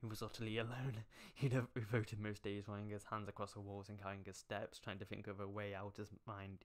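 Low-voiced sarcastic man reading aloud. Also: level tone, a little fast. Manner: sarcastic, stumbling over words. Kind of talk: mocking fan fiction read-aloud with asides.

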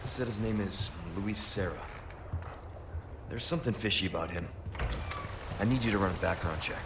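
Young American action-game agent, male actor, low, terse, commanding, guarded, over clear background noise. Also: normal speed, close to an everyday voice. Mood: dry, businesslike. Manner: curt, dry, commanding. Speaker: video game character. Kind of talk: cinematic game voice acting.

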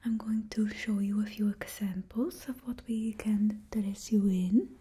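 A woman's voice: medium pitch, fairly even intonation, unhurried, mellow, slightly rough. A young woman voicing a bossy female stylist to supervillains with a put-on Russian accent, whispering blunt remarks close to the mic. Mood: whispery. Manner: blunt and condescending. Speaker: ASMR creator. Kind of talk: ASMR roleplay. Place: indoor studio with painted backdrop. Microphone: close ASMR mic.

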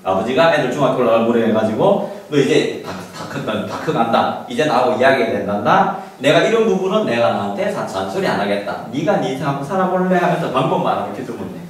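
A man's voice: medium pitch, lively intonation, fast, hardly any pauses. A middle-aged man giving an informal, emphatic lecture to parents, often asking rhetorical questions. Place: small classroom. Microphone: handheld mic, recorded by a camera at a distance. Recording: echoey room, distant mic, background noise.

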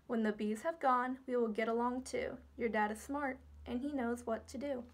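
A woman's voice: a little high, steady tone, moderate pace, close to an everyday voice. A woman voicing a proud, stubborn father bear who boasts in sing-song rhymes from a storybook. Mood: boastful.

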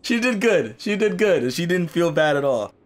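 A young man commentating on a mobile fighting game, excitable and losing his voice, seated at a home gaming setup. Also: fairly high pitch, normal speed. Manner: excitable, swings from muttering to yelling at the game. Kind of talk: casual gameplay commentary.

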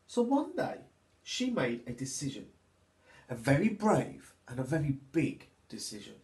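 A man in his thirties reads to young children like a teacher at story time. His delivery is unhurried and very expressive, with lively swings in pitch, recorded in a small echoey room. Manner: expressive, deliberate, addressing young listeners. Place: small plain room at home, indoors.

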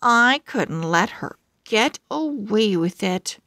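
A woman voicing an excitable, eager little pug confiding dramatically in a diary in a children's storybook. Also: medium pitch, takes it slowly. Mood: eager, dramatic.

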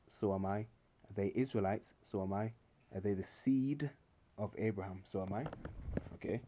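A man's voice: fairly low pitch, mellow, a little hiss or hum. Man preaching with dull lo-fi sound. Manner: preachy, frequent "all right?" check-ins. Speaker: religious commentator. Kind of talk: screen-recorded commentary reading articles and scripture.

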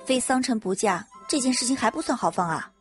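A woman's voice: fairly high pitch, animated, brisk, soft background music, natural voice. A woman voicing a dry, skeptical young female forensic doctor with curt replies in an audio drama. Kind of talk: multi-cast web audio drama.

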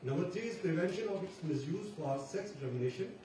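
A man's voice: high voice, animated, fast talker. A man with an Indian English accent explaining points of law in a formal presentation. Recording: very echoey hall from far off, hiss.

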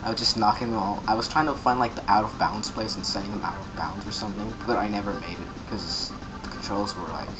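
A man with a slightly low voice gives casual, joking commentary while he plays a video game. He reads the on-screen dialogue aloud in playful put-on voices. Loud game music plays behind him. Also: steady tone, fast talker, poor audio. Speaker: let's play gamer.

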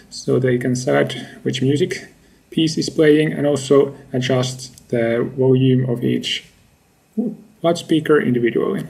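A man in his thirties with a creaky voice gives a measured, matter-of-fact research presentation in halting phrases. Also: medium pitch, darkish tone. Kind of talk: scripted academic slide presentation.

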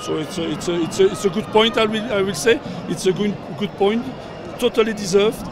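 Older male coach, high voice, halting speech full of fillers, outdoor noise behind; press scrum bark. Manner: halting, many fillers and repeats, non-native phrasing. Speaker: football coach. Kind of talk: post-match press interview. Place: outdoors at a sponsor backdrop, surrounded by reporters. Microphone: cluster of handheld reporter mics and phones.

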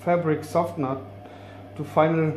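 A man's voice: fairly high pitch, steady tone, a little slow. A middle-aged man from Germany giving a casual, rambling gear review in a joking, colloquial manner. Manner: informal, joking, colloquial with mild swearing. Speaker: vlogger reviewing outdoor gear. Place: concrete basement laundry room, indoors. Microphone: camera mic a few steps away. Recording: echoey room, distant camera, background noise.

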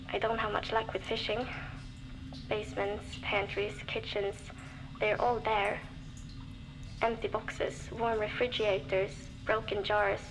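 An actress playing a young woman survivor, reflective and determined, recording a measured audio diary for a video game. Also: medium pitch, lightly acted, low-quality recording. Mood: lonely, weary. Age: young adult.

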